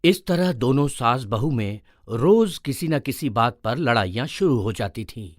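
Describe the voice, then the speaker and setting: A man reads scripted story narration in a mid-range voice. His intonation is very lively as he tells the tale, and the recording is dry, with no room sound. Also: mid-range voice, measured pace, no echo.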